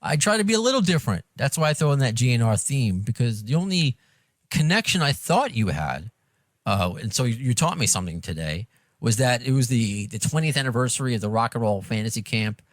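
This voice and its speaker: A man's voice: medium pitch, very animated, a little fast, no room sound, studio quality. A man with a New York accent hosting a podcast, chatting casually in a rambling, self-deprecating way. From Long Island and Brooklyn, New York. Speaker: podcast host. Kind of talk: casual interview chat.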